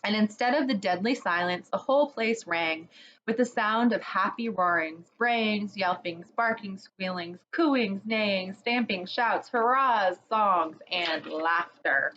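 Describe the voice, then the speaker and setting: A woman reading a children's chapter book aloud, slowly and expressively, as a storyteller for kids. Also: mid-range voice, highs cut off, slight background noise. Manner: slow, gentle, expressive reading.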